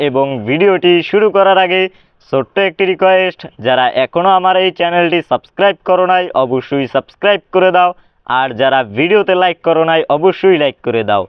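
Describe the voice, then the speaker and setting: A man's voice: high-pitched, brisk, smooth, no room sound. A man hosting a tutorial, delivering a scripted intro and sign-off. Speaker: tutorial host. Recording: dull, lo-fi, dry voice track.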